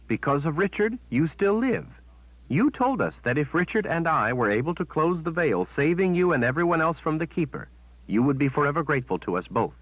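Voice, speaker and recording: A man's voice: a little high, fairly bright tone, dry recording, voice pushed up. A man voicing a defiant young woman who speaks in an indignant, accusing manner. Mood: insistent. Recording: dull, dry sound.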